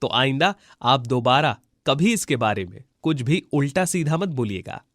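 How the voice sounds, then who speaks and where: A man's voice: mid-range voice, animated, moderate pace, a bit bright, dry recording, clean studio sound. A man voicing a loyal, poor village husband in a cartoon story, speaking politely and emphatically. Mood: firm, earnest.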